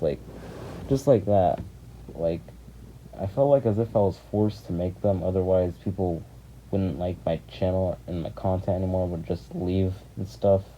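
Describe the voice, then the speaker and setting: A man with a deep, very mellow and subdued voice rambles casually to his viewers. He speaks haltingly and circles back over his words. The recording is dull and lo-fi, with clear noise behind him. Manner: hesitant, halting, repeating himself. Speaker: YouTube video creator. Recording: muffled lo-fi mic with clear background noise.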